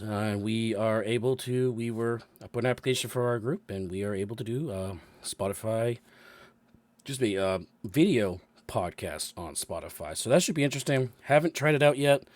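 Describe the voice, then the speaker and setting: A man with a cold, hoarse, hosting and running a tabletop game session, halting with many fillers. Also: lowish voice, no room sound. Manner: halting, many ums and restarts. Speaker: dungeon master and show host. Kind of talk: casual announcements and chat. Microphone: new microphone.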